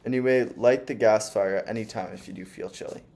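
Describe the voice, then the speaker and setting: A man's voice: lowish voice, a little fast, vocal fry, mellow. A man putting on a sugary, doting old landlady. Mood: fussy. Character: gushing, fussy older landlady, sweetly sinister.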